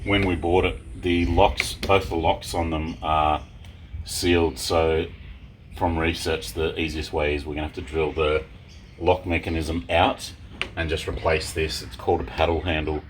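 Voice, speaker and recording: A man's voice: a bass voice, level tone, a little slow. A man casually explaining renovation work as a vlogger. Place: at a bus being converted. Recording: noisy on-site recording with radio playing. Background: radio and ambient work noise.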